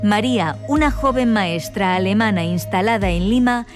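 A woman reading a scripted report in a measured, dramatic narration style. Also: mid-range voice, music in the background.

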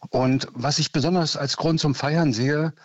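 A man's voice: fairly high pitch, steady tone, measured pace, no echo. A man in his sixties from East Germany, calling in to a radio show and speaking earnestly, like giving a small speech. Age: 60s. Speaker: radio caller. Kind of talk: phone-in call. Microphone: phone. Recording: lo-fi dry phone line.

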